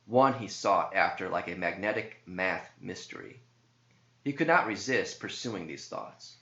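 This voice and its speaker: Male verse narrator, bright forceful tone, deliberate delivery, recorded in an echoey room. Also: low-pitched, animated, muffled recording. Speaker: author reading own verse.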